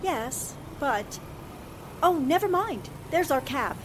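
A woman's voice: high voice, lively intonation, takes it slowly, noisy background. A woman playing a sensible, polite newspaperwoman with a composed, dry manner in a 1940s-style radio drama. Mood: wry.

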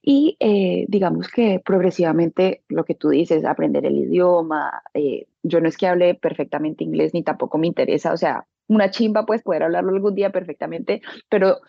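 Casting: Colombian woman, husky with vocal fry, colloquial and expressive storyteller in an informal interview. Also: on the low side, normal speed, few pauses, no room sound, lo-fi sound. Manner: colloquial, expressive, acts out quoted dialogue. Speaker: interview guest, beauty business owner. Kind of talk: casual personal storytelling.

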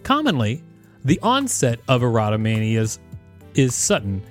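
A man's voice: mid-range voice, moderate pace, faint music behind. A man co-hosting a podcast, reading an article aloud and breaking in with joking commentary. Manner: animated, joking asides. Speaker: podcast co-host.

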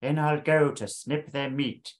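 A man's voice: medium pitch, fairly even intonation, measured pace, close to an everyday voice. A man playing a mischievous, crude male pixie thief in a comic audio story, talking in sing-song rhymes. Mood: mischievous taunting.

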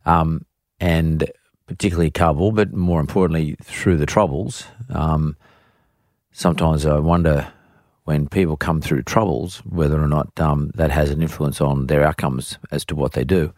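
A middle-aged man hosting an interview podcast, speaking in a measured, deliberate way with restarts and fillers. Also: rumbling low, fairly even intonation, darkish tone, dry recording, clean studio sound. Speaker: podcast host. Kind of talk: interview chat with scripted intro.

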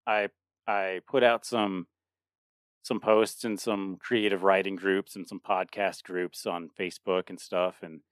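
A man's voice: sits low, little pitch movement, a little slow, no room sound, clean studio sound. Laughing, rambling man in his thirties. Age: 30s. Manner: rambling, laughing often, many restarts. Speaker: podcast co-host. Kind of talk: casual chat.

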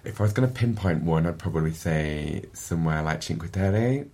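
Middle-aged Yorkshire man, low and slightly husky, chatty podcast guest who stumbles over repeated words. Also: lively intonation, normal speed, warm tone. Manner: chatty, repeats words when starting sentences. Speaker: guest, events agency director. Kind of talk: casual interview chat.

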